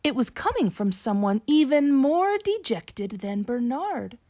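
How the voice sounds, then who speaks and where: A woman reading a children's picture book aloud in an expressive, slow, storytelling manner. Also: high voice. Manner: slow, animated read-aloud delivery for young children. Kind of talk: expressive bedtime storybook narration.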